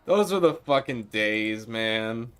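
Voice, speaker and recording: A man's voice: fairly low pitch, animated, slow, fairly bright tone, smooth. A young man with a Midwestern accent chatting casually and nostalgically while streaming a game, swearing and laughing now and then. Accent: Midwestern American. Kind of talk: casual gameplay commentary.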